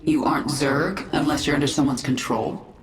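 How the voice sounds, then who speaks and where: A woman's voice: low voice, fairly even intonation, normal speed, recorded from a distance, close to an everyday voice. A woman playing a vengeful, commanding queen of an alien swarm in a video game, speaking tersely and with authority. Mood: cool, commanding. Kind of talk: video game character acting. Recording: roomy echo, noisy game ambience.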